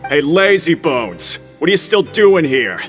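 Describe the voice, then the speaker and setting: A man's voice: fairly high pitch, very animated, measured pace, a bit bright, soft background music. A man voicing a scary, bossy prison foreman in a video game, barking his orders. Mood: stern, impatient.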